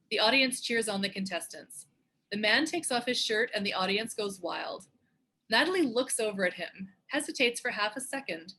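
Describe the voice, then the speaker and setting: A woman with a husky voice reading scripted stage directions as the narrator of a table read. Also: mid-range voice, bright, forceful tone. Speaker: narrator and show co-creator.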